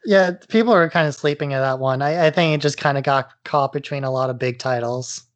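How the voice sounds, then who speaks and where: A man's voice: mid-range voice, brisk. A man co-hosting a podcast, talking in a casual, offhand, opinionated way. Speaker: podcast co-host.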